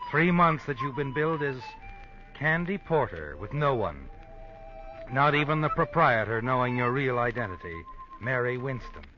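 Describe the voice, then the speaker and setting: An actor playing a sardonic, all-knowing American narrator of an old radio suspense drama, insinuating and dramatic. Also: male voice, medium pitch, animated, normal speed, lightly acted. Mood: sardonic, ominous. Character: sardonic, omniscient male storyteller of suspense tales. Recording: muffled old radio with music. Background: music.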